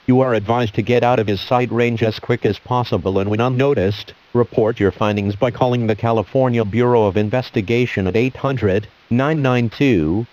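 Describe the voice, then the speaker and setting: A man playing an emergency alert announcer, reading a scripted police warning in a formal, measured, official manner. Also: medium pitch, a little mellow, natural voice. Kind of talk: scripted emergency broadcast narration. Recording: dull lo-fi audio.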